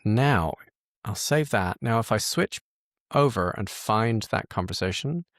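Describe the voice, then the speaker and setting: A man hosting a casual software tutorial, thinking aloud and correcting himself as he works through the screen in an informal way. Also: lowish voice, vocal fry, no echo, studio quality.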